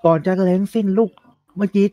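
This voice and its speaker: A man's voice: sits high, fairly even intonation, quick pace, warm tone, no echo, natural voice. A man playing a doting, nosy father king in an improvised comedy fairy tale, chatty and teasing. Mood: coaxing. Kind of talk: improvised comedy podcast storytelling.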